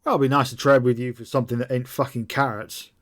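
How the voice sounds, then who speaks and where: A gruff mutant gun runner, as a game master puts him on, talking bluntly and peppering his speech with curses. He rambles in a middle-pitched voice, all business and impatience. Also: steady tone, quick pace, close to an everyday voice. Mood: businesslike.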